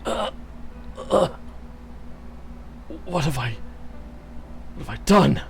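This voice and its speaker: A man's voice: on the high side, sing-song, slow pace, vocal fry, a little hiss or hum, pitched above the performer's own voice, full character voice. A man voicing a loyal male fairy beast clan chief who pleads with his queen and later roars in fury. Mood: remorseful. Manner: pleading, then roaring with rage.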